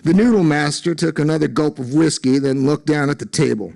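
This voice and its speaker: A man giving an expressive dramatic reading of literary fiction, acting out the dialogue with emphasis. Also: fairly high pitch, a little fast, creaky, rough recording. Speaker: story reader at an awards ceremony.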